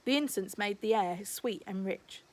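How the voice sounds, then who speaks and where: A woman's voice: medium pitch, normal speed, poor audio. A woman narrating a children's storybook aloud, like a teacher reading to a class.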